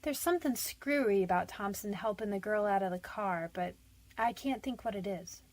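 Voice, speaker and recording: A woman's voice: mid-range voice, normal speed, lightly acted. An actress playing a frightened but defiant young convicted woman in a radio detective drama, defensive, pleading and slangy. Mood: resigned.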